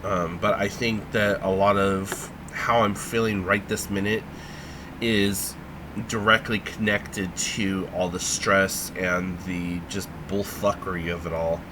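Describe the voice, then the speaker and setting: A man with a fairly low voice talks unhurriedly through a casual vlog. He stalls on frequent ums and sounds a bit stuffed up. A steady background noise runs under everything. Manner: halting, lots of ums and trailing pauses. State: congested, feeling sick. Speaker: vlogger.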